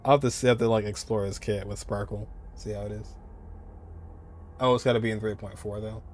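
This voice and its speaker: A man's voice: fairly low pitch, steady tone, brisk, a little mellow, a little hiss or hum. A man live-streaming a game, chatting casually and rambling as he answers viewers, often repeating his phrases.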